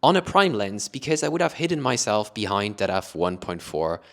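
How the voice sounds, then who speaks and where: A man's voice: fairly low pitch, sing-song, moderate pace. A young man from Luxembourg with an international accent shaped by British-taught English, explaining camera gear to his viewers and rephrasing as he goes. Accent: international mix, Luxembourgish with British-taught English. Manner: explanatory, rephrases with "let's say" and "additionally". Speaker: photography YouTuber. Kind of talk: talking to camera about gear.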